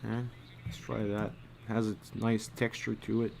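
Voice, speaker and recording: A man gives a casual, think-aloud drawing tutorial. His speech is slow and murmured, as if half to himself, with a creaky fry in the voice. There is some noise behind him. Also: lowish voice, monotone, a little mellow. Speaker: storyboard artist giving a drawing tutorial.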